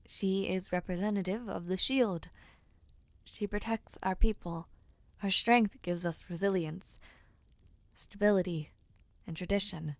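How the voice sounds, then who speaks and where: A woman's voice: medium pitch, dry recording. Woman as young noble lady, aspiring knight, formal and fond, close dry mic, mid-range voice. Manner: formal, genteel speech with soft hesitant fillers. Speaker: audio roleplay performer. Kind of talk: intimate audio roleplay.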